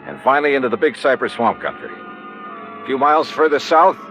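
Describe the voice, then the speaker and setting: A man's voice: mid-range voice, big pitch swings, brisk, fairly bright tone, faint music behind. An actor playing a sardonic, impatient American insurance investigator who narrates his case in a 1950s radio drama. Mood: brisk narration. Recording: dull, noisy old radio recording.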